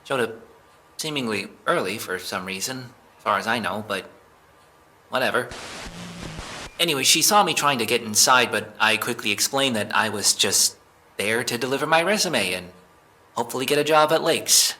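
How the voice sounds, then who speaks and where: A man playing an evasive, jittery young man being questioned by police, halting and defensive, in a naturalistic audio drama. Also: mid-range voice, animated, bright, forceful tone, a little hiss or hum. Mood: nervous explaining.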